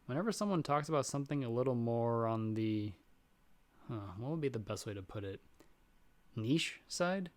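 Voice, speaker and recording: A man's voice: mid-range voice, expressive, moderate pace, lightly acted. Man acting as nervous, chatty young guy friend. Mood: nervous, self-doubting. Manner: casual, rambling, self-deprecating, chuckling between phrases. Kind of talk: audio roleplay addressed to the listener as a friend.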